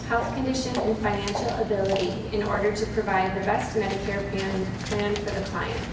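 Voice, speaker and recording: A woman host reads a prepared introduction in a steady, measured way. Her voice sits far from the microphone in a very echoey meeting room, with loud noise underneath. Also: mid-range voice. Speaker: event host introducing a speaker.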